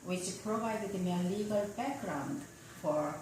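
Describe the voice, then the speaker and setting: Slow, careful woman, Korean native speaker. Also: mid-range voice. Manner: slow, careful, somewhat formal answers. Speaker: attorney. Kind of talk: answering interview questions. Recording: roomy, distant mic with faint noise.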